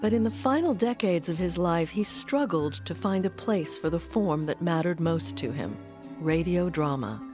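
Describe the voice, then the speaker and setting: A woman with a slightly low voice narrates a documentary as its host. She reads from a script, with faint music underneath. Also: expressive, moderate pace, darkish tone.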